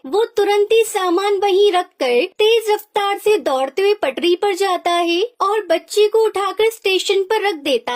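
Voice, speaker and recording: A woman's voice: very high-pitched, slight background noise. A woman storyteller reading a scripted children's story as narrator.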